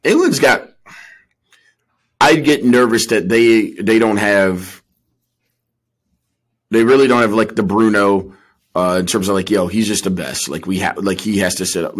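Low voiced guy on a sports podcast, casual slangy chat, repeats stuff for emphasis. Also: moderate pace, husky. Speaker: podcast co-host.

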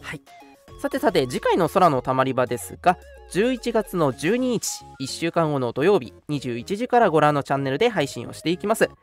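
Lively radio host over background music. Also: medium pitch, a little fast. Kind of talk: casual radio talk, announcing segments. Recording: music playing behind the voice.

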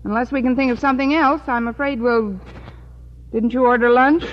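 An American actress playing a wisecracking high school teacher in an old radio comedy, with dry, sardonic delivery. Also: female voice, fairly even intonation, brisk, close to an everyday voice. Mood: dry, wry. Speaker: lead actress in a radio sitcom. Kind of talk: 1940s-50s American radio comedy acting. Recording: muffled, noisy old-time radio recording.

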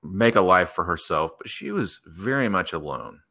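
Man, low pitch, bright forceful tone, improvised storytelling, measured phrases, podcast guest. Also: sing-song, vocal fry.

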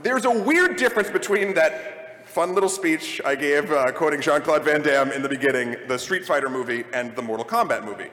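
A man's voice: fairly high pitch, a little fast, fairly bright tone. A man speaking conversationally on a live panel, rambling and opinionated as he thinks aloud. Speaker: panel host leading a discussion of video game movies. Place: large room at a convention. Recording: echoey hall, live panel recording.

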